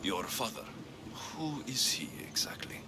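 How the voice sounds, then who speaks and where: An actor playing a bold, wry mercenary warrior in a video game, with a terse, cool delivery. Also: male voice, mid-range voice, lively intonation, measured pace, in a whisper, natural voice. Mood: cool, probing. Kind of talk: dramatic video game voice acting. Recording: loud music behind. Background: cinematic music.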